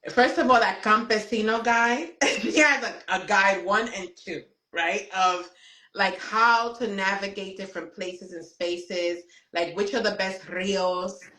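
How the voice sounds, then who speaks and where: Woman hosting a live chat, bright crisp voice, says "right?" a lot, kinda roomy sound. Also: mid-range voice, unhurried. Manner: warm, affirming, lots of "right?". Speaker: host. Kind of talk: casual interview on a live stream.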